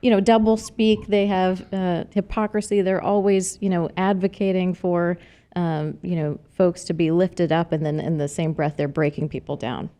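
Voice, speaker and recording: A woman's voice: on the low side, steady tone, moderate pace, mellow. A middle-aged woman with a slight Texas accent, a political candidate talking earnestly in a casual podcast conversation, with many fillers and restarts. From New Mexico, raised in Arizona.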